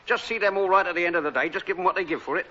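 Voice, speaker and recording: A kindly, awkward London scrap man talks fast in a very bright, cutting voice. It is sitcom acting on dull, lo-fi sound. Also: high voice, a little hiss or hum. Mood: reassuring. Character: awkward, kindly rag-and-bone trade neighbour.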